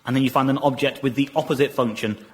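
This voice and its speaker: A man's voice: medium pitch, steady tone, fast talker. A man presenting a comedy show on the radio, recorded live.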